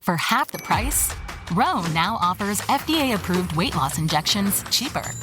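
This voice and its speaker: Ad read: woman, punchy and salesy, lively pitch, clear music bed. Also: normal speed. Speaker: ad announcer. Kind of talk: scripted commercial read.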